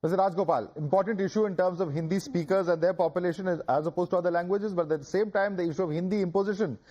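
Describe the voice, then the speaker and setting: A man hosting a TV debate, briskly cutting in to steer the speakers. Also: high voice, a little mellow, muffled recording. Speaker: debate show host. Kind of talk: moderating a TV debate.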